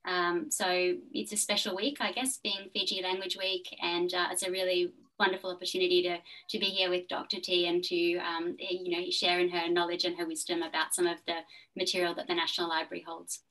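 A woman's voice: fairly low pitch, little pitch movement, brisk, bright, forceful tone. A woman, introducing herself politely and tentatively as a panel guest on a video call. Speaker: guest panelist, library professional. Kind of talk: informal self-introduction. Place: plain indoor room on a video call. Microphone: computer mic at a distance on video call. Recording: distant video-call microphone.

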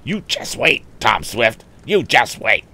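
A man's voice: mid-range voice, very animated, slow pace, creaky, pushed and bright, slightly rough, pitched above the performer's own voice, exaggerated voice. A man voicing a sulky, blustering teenage bully from an old adventure storybook, sputtering and growling slangy lines. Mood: threatening.

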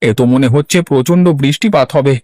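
A man's voice: mid-range voice, a little fast, a little mellow, no room sound, clean studio sound, natural voice. A man voicing an anxious, lamenting poor village farmer in a cartoon, plaintive and exclamatory. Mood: worried lament. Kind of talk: Bengali cartoon voice acting.

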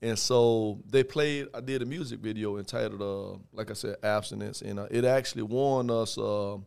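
Raspy, commanding man with a deep voice. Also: normal speed, darkish tone. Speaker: rapper guest. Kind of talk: casual interview chat. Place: podcast studio. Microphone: headphones and studio mic on boom.

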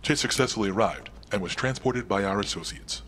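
A man playing an unflappable right-hand man to a crime boss in a video game, formal, clipped and deadpan. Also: lowish voice, a little fast, a little hiss or hum, lightly acted. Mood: dry, measured. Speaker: crime boss's adviser. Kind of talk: cinematic game dialogue.

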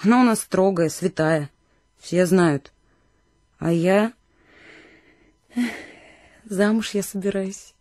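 A woman's voice: medium pitch, takes it slowly, long pauses, a little mellow, dry recording, clean studio sound, natural voice. An actress playing a proud, frank young village woman, content and loving, in a radio play. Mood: earnest, tender.

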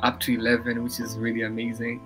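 A man's voice: medium pitch, monotone, fast, over music. Easygoing young Rwandan-accented man. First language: Kinyarwanda. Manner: easygoing, hesitant, many fillers. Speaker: podcast guest. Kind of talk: casual video-call chat. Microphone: headset.

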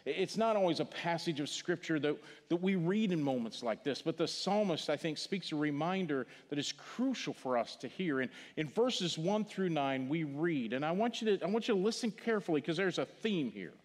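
An older man from Missouri with a Missouri accent, preaching a funeral sermon in a church sanctuary, earnest, repeating words and dropping to a hush on key phrases. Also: a little high, lively intonation, normal speed, hardly any pauses. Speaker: pastor. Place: large church sanctuary stage. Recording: long hall reverb from the church.

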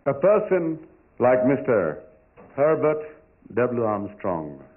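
A man at a banquet podium giving a slow, ceremonious introduction of a guest. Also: medium pitch, big pitch swings. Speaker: banquet host. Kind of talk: formal introduction speech. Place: banquet hall. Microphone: podium microphone. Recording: tinny, distant hall recording.

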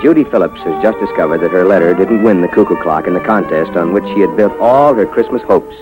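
A man's voice: sits low, fast. An American man announcing in polished, emphatic scripted style on 1950s radio. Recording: dull vintage 1950s radio recording. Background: music.

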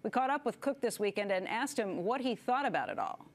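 Woman news anchor with a gravelly edge. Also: a little high, animated, a little fast. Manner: crisp scripted delivery. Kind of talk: news presenting and interviewing. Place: TV studio.

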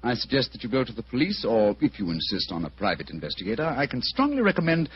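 An actor playing an urbane, wry, stubborn detective in an old-time radio drama, formal in manner. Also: male voice, medium pitch, quick pace. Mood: firm, exasperated. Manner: crisp, formal, amused, animated. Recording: dull, noisy old radio recording.

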